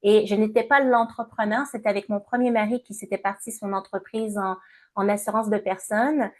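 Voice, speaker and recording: A woman in her fifties from Montreal with a Québécois accent, answering interview questions in a measured way with many hesitations. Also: mid-range voice, fairly even intonation. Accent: Québécois French. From Montreal, Canada. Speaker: guest, entrepreneur and coach.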